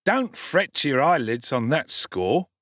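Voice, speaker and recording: A man's voice: mid-range voice, very animated, slow, pushed and bright, dry recording, clean studio sound, voice pushed up, exaggerated voice. A man voicing a cocky, streetwise teenage London boy with a Cockney accent and slangy swagger in an audiobook. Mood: teasing, boastful. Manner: swaggering, slangy, self-assured. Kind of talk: dramatic audiobook character acting.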